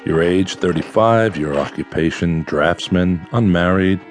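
A male psychiatrist with a deep voice and a measured, patient manner. He has a dry humor. It is a radio drama with soft music in the background. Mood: dry, attentive. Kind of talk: audio drama acting.

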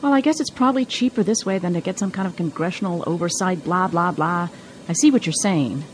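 An actress playing a no-nonsense, worried travel companion in an audio drama, with a serious, chiding manner. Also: female voice, on the low side, a little fast, a little hiss or hum, close to an everyday voice. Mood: questioning.

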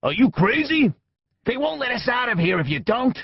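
A woman narrator voicing a panicked, violent male carjacker who shouts. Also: fairly high pitch, sing-song, moderate pace, gravelly, slightly rough, dry recording, pitched above the performer's own voice, exaggerated voice. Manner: frantic, shouting. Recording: dull lo-fi recording.

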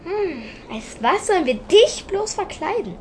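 High-pitched, curious young child. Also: very animated, unhurried, darkish tone, a little hiss or hum, exaggerated voice. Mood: hesitant. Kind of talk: children's audiobook acting.

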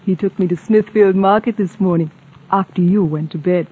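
A woman's voice: mid-range voice, normal speed, breathy edge, natural voice. An actress playing a self-assured young society lady in a vintage radio drama, coaxing and playfully insistent. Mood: coolly determined. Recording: thin phone-like old radio recording, faint music.

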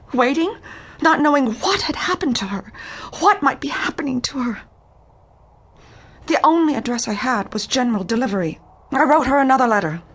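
An actress playing a timid, refined young woman client in a detective audio drama, speaking in a halting, pleading manner. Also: high-pitched, fairly bright tone, husky, exaggerated voice.

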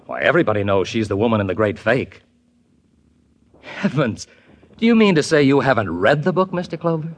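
A man's voice: medium pitch, sing-song, brisk, long pauses, background noise, lightly acted. An actor playing a bitter, mocking male ghost writer in a 1950s radio drama, with a florid, sardonic delivery. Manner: florid, sardonic, prone to laughing fits.

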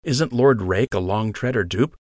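A determined young rabbit archer with something to prove, speaking earnestly in a lowish voice. A man reads him in his own natural tone. The recording is dry and close. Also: normal speed, no echo, natural voice. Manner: earnest, intense.

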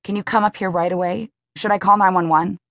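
A woman's voice: mid-range voice, fairly even intonation, brisk, a bit bright, no room sound, studio quality, voice pushed up, lightly acted. A woman voicing an anxious young woman who frets over intruders and fusses over her upset friend. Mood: panicked. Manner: nervous, solicitous.

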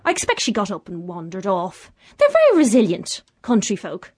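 A woman's voice: medium pitch, huge pitch swings, moderate pace, slightly rough. An actress playing a haughty, callous, short-tempered female celebrity in a radio comedy drama, dismissive and sarcastic. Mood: irritable, brusque.

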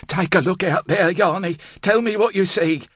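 A man's voice: sits high, normal speed, a bit bright, breathy edge, no echo, clean studio sound, voice pushed up. A man reading an audiobook, voicing a frantic, melodramatic woman who runs an orphanage laundry and frets and wails. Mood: anxious. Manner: melodramatic, anxious, wailing.

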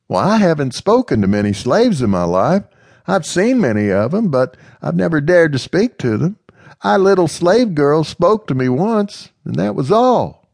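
A man voicing a devout, timid young woman who speaks softly and hesitantly. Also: on the high side, big pitch swings, moderate pace, creaky, no echo, clean studio sound, pitched above the performer's own voice, full character voice. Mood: earnest. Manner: hesitant, soft-spoken.

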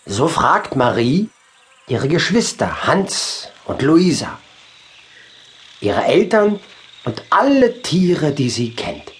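Very slow, expressive male storyteller. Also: on the high side, a little hiss or hum. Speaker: audiobook narrator. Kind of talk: scripted children's story narration.

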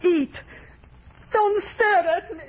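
A woman's voice: very high voice, slow. An actress playing a shy but spirited young guerrilla woman in a vintage radio drama. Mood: self-conscious. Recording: muffled old radio drama recording.

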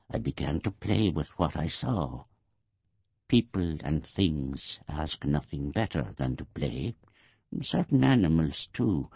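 An actor playing a bitter, dying old man in an audiobook monologue, gravelly and deliberate, musing and correcting himself. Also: low voice, dry recording, dull audio, heavily acted. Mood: wry, musing. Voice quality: gravelly, husky. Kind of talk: audiobook first-person monologue, literary. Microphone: close studio microphone, dry.

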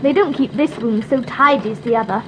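A woman's voice: fairly high pitch, fairly quick, noisy background, natural voice. An actress playing an eager, imaginative little girl in an audiobook drama. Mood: delighted.

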